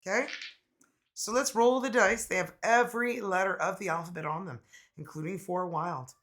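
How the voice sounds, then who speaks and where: Bright-voiced man, slightly raspy from a cold. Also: sits high, steady tone, moderate pace. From Canada. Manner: laid-back, chatty, laughs often. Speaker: tarot reader. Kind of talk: casual tarot reading.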